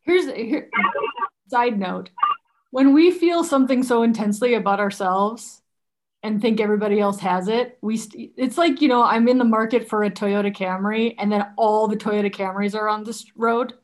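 A woman's voice: medium pitch, normal speed, a little mellow. A woman hosting a conversation, coaching her guest with direct, probing questions and jokes.

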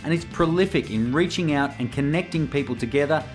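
Male podcast host with soft music behind. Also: a little high, a little fast. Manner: hesitant, many fillers and restarts when asking questions. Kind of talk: podcast interview.

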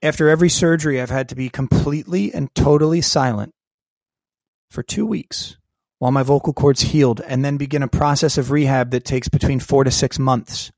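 A man telling a personal story as a podcast guest, lively and acting out the voices of people in it. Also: medium pitch, brisk, no room sound. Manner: animated storyteller who acts out quoted dialogue. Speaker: guest, keynote speaker and coach.

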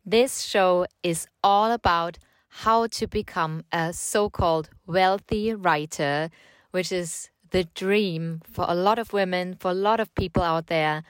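A woman's voice: lowish voice, unhurried, clean tone, no room sound. Chatty woman with a slight German accent. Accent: German, with slight American and British touches. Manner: casual, chatty, repeats words, rambling asides. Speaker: podcast host and writing coach. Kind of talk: solo podcast talk. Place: home in the countryside.

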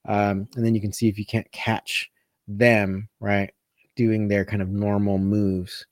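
A man's voice: fairly low pitch, level tone, unhurried, dry recording, studio quality. A man hosting a casual live question-and-answer session as a coach, thinking aloud and often rephrasing as he goes. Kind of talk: casual live chat answering viewer comments.

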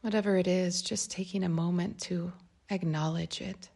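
A woman leading a guided meditation in a calm, gentle, unhurried way, with a breathy voice that softens toward a whisper. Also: fairly low pitch. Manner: calm, unhurried, gentle phrasing with long pauses. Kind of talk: guided meditation, slow and soft instruction.

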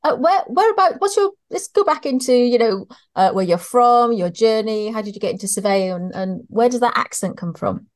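A woman from North Wales with a Welsh accent, hosting a podcast and chatting in a warm, effusive way. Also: fairly high pitch, expressive, moderate pace, few pauses. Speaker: podcast host.

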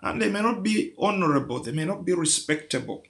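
A man preaching to his congregation with a gravelly, slightly raised voice. He works in strings of rhetorical questions and presses hard on key lines. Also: high-pitched, lively intonation, fairly quick, a bit bright. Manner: rhetorical questions, rising to emphatic peaks. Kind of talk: sermon.

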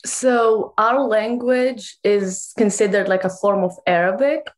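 A woman's voice: medium pitch, measured pace. A young woman in her twenties from Morocco chats as an interview guest. She talks loosely and casually, dropping plenty of "like" fillers. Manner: chatty, frequent "like" fillers, laughs easily. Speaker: guest author.

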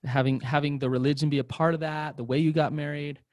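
A man's voice: on the high side, level tone, fast talker, dry recording, studio quality. Man interviewer probing with restarts. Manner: probing questions with many restarts. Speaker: podcast co-host, interviewer. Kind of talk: podcast interview.

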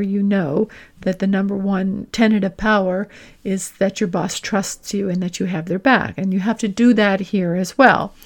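Female career coach, mid-range voice. Deliberate, emphatic podcast teaching with clearly stressed key phrases. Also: mid-range voice. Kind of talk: instructional podcast talk with acted example dialogue.

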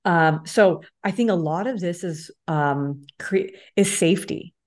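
A woman's voice: fairly low pitch, a little slow. A woman hosting a casual, reflective conversation, phrasing things informally and often checking in with tag questions. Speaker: interviewer, host.

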